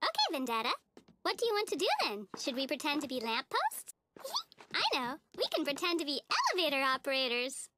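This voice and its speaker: A woman voicing a naive, sweet, relentlessly cheerful little schoolgirl in a TV cartoon, with a childlike squeaky voice and a gushing, singsong, oblivious manner. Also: sing-song, brisk, background noise. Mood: excited, gushing. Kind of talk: animated TV cartoon acting. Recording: music playing behind the voice.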